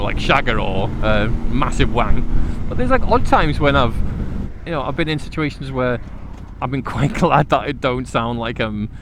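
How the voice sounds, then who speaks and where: A middle-aged man with a Liverpool accent, rambling casually to himself as he rides, jokey, slangy and self-deprecating. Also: medium pitch. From Liverpool, England. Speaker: motorcycle vlogger. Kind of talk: casual rambling monologue while riding. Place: outdoors on a motorbike in traffic. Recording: noisy outdoor road and traffic sound. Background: engine and traffic noise.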